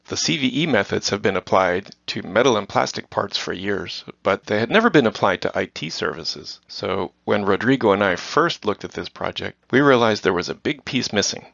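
A middle-aged man from the Netherlands answers in a measured, prepared way, as if reading. His voice sits low with a gravelly edge. The recording is dull and dry, with little room sound. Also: a bit bright, no room sound. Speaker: guest. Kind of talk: scripted corporate interview.

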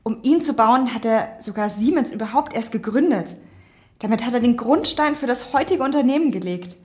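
A woman acts as an excitable young female time traveler in an audio drama. Her voice is very high, and she sounds curious and wide-eyed. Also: moderate pace, lightly acted. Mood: explanatory.

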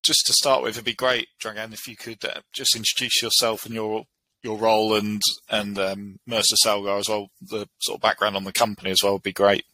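A man's voice: fairly low pitch, flat delivery, fairly quick, bright, forceful tone, no echo, low-quality recording. A man hosting a podcast interview, asking hesitant, encouraging questions with frequent fillers. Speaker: podcast host.

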